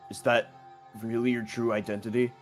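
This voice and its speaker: A man reading out the part of an earnest, anguished young male student in a mystery game, gravely and dramatically. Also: mid-range voice, flat delivery, brisk, natural voice. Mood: grave, pleading. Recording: faint music behind.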